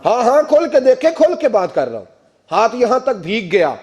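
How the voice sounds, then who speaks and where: High, swooping male storyteller. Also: very animated, normal speed, darkish tone, muffled recording. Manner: repeats phrases, acts out quotes. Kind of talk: animated religious storytelling.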